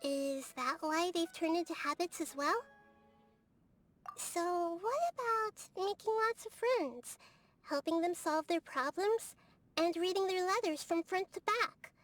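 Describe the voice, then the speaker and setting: A woman voicing a small, gentle, curious child deity in a video game, thoughtful and soft-spoken. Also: very high-pitched, lively intonation, measured pace, full character voice. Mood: curious, earnest. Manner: soft-spoken, thoughtful, quizzical, speaks in animal analogies. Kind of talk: video game character dialogue. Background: music.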